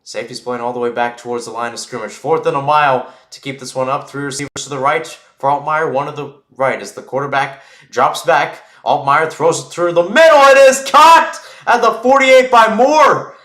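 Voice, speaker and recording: A man's voice: a little high, normal speed, few pauses, a bit bright, reverberant. A man giving live play-by-play football commentary in an informal way, thinking aloud and correcting himself as he goes.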